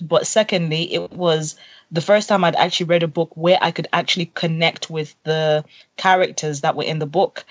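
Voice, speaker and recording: A woman's voice: low-pitched, no echo, lo-fi sound. A young woman from Zimbabwe, a company co-founder, talking earnestly in an interview with frequent fillers. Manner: earnest, lots of fillers and "literally". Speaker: co-founder guest. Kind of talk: interview conversation.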